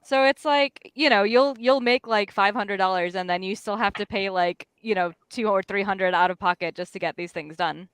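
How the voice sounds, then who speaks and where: A woman's voice: mid-range voice, moderate pace, few pauses, fairly bright tone, smooth, no room sound. A woman chatting casually as a panel guest, slangy and playfully emphatic. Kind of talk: casual chat.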